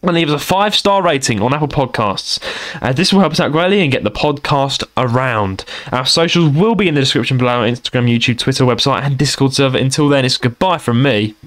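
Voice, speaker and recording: A chatty young man with a London accent, rambling and circling back over his own points. He talks at a middling pitch in an easygoing podcast way. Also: fairly quick, fairly bright tone. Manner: rambling, repeats himself, chatty. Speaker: podcast co-host. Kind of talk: casual podcast chat.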